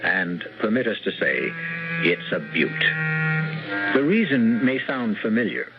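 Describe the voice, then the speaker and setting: A man hosting and narrating a radio drama from a script, with an arch, measured, theatrical manner. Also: lowish voice, very animated, over loud music. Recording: dull lo-fi old radio recording.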